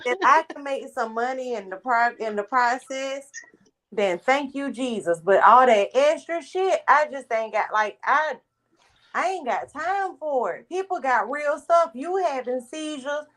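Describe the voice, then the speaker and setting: A woman's voice: high voice, animated, a little slow, fairly bright tone. An American woman chatting casually and bluntly in a group, swearing freely and sometimes stuttering. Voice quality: occasional stutter. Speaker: participant in a group live stream. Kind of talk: casual chat.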